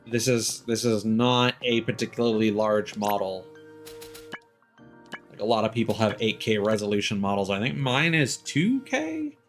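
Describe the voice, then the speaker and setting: A man giving casual live game stream commentary in a rambling, halting, mildly griping way. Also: lowish voice, big pitch swings, faint music behind.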